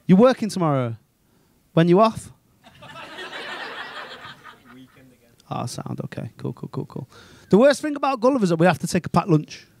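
A man doing an animated, crude stand-up set on a small comedy club stage into a handheld mic. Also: high-pitched, normal speed, gravelly, long pauses, slight background noise. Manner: animated, crude, ranting and incredulous.

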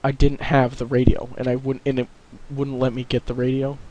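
A man's voice: mid-range voice, completely flat delivery, brisk, a little mellow, dull audio. A man thinking aloud and swearing casually while playing a video game alongside a friend. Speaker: let's play co-host. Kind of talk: casual gaming commentary. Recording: heavy keyboard typing noise. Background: computer keyboard clicks.

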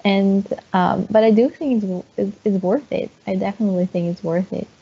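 A woman lecturer and therapist answering on a video call, measured, often repeating words as she thinks. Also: mid-range voice, husky. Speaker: teacher and therapist. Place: room at home. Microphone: wired earphone mic on a video call. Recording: dull lo-fi video call audio.

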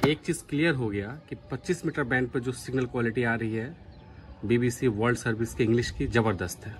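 A man's voice: medium pitch, fast, slight background noise. A man giving a casual live demonstration as a vlogger. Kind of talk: casual live demo commentary.

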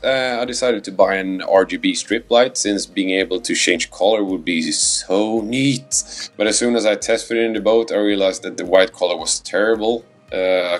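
A man's voice: deep voice, creaky. A man with a Scandinavian accent narrating a how-to voice-over about his sailboat, hesitant and full of fillers. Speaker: sailboat owner vlogger.

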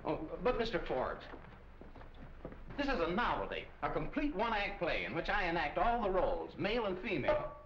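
An eager, persistent showman in a 1940s film. His fairly high voice leaps about as he pleads and sells, in a roomy, echoing old recording. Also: huge pitch swings, normal speed, fairly bright tone, exaggerated voice. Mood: eager, persuasive. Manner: pleading, earnest salesmanship. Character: eager, pushy, self-promoting male radio performer. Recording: roomy, dull, noisy old film soundtrack.

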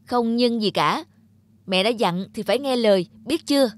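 Casting: woman playing a cartoon mother, high voice, northern Vietnamese accent, anxious, strict yet loving, dry sound with music behind. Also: normal speed, no room sound, close to an everyday voice. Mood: stern. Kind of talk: animated moral tale dubbing.

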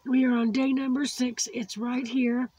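A woman hosting a casual craft video, her voice worn from losing it after surgery, slowly chatting and cooing over small crafts. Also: high voice, little pitch movement, warm tone, slight background noise. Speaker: crafting channel host. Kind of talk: casual unboxing chat. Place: indoors at a tabletop craft setup.

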